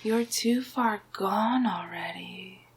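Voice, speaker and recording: A smug villain sorcerer played by a woman speaks in a husky, breathy hush for an ASMR roleplay. The pace is extremely slow and deliberate, often slipping into whispers. He gloats and commands like a hypnotist, domineering and sure of his power. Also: medium pitch, exaggerated voice. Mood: soothing menace.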